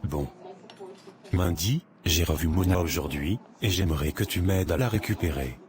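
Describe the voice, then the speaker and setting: Man acting a low-voiced, insistent ex-husband. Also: normal speed, noisy background, close to an everyday voice. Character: smitten, pushy divorced man wanting his wife back.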